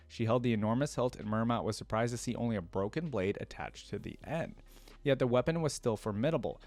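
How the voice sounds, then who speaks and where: A man with a fairly low voice works through a fantasy story aloud as a reaction host. His delivery is informal, shifting between plain narration and casual commentary, often checking in with small tag questions. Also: darkish tone.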